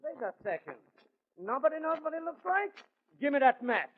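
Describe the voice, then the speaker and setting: A man playing a fussy, excitable theater manager with odd comic phrasing in 1940s radio comedy. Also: very high-pitched, a little fast. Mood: exasperated. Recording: dull restored 1940s radio recording, faint noise.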